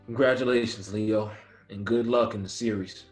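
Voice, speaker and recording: A man acts a proud, firm male ballplayer who stands his ground. His fairly deep voice is measured and earnest. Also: fairly even intonation, soft background music, lightly acted, low-quality recording. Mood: solemn. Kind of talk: dramatic table read.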